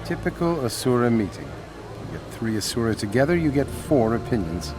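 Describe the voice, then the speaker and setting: An actor playing a wry, sceptical bystander with a dry manner in a fantasy video game. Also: male voice, medium pitch, big pitch swings, moderate pace, lightly acted. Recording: game cinematic with music behind.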